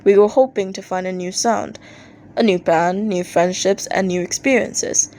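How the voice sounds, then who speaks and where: A woman reading fan fiction aloud as an expressive amateur audiobook narrator, acting out posts in an animated way. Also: medium pitch, normal speed, a little hiss or hum.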